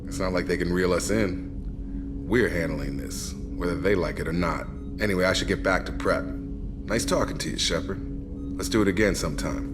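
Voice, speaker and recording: An actor playing a courteous, guarded soldier in a video game, reserved and matter-of-fact in conversation. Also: male voice, low voice, normal speed, lightly acted. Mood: candid, easygoing. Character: guarded, courteous male soldier, loyal subordinate. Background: game background music.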